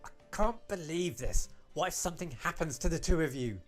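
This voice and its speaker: A man's voice: fairly high pitch, expressive, fairly quick, soft background music, close to an everyday voice. A man voicing an earnest, alarmed young noble girl in a visual novel readthrough, emphatic and indignant. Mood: alarmed, disbelieving.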